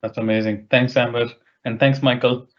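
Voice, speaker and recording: A man's voice: medium pitch, flat delivery, normal speed. A man hosting an online session, politely and appreciatively relaying questions in a conversational way. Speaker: webinar host and moderator. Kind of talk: conversational moderating, asking questions. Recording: slightly dull online-meeting audio.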